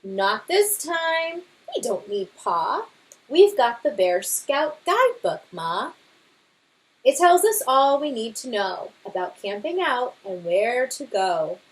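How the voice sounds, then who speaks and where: A woman's voice: fairly high pitch, very animated, takes it slowly, rough recording. A woman voicing an eager young boy bear scout in a children's storybook, speaking in an earnest singsong. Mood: eager, sure. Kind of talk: children's picture book read-aloud.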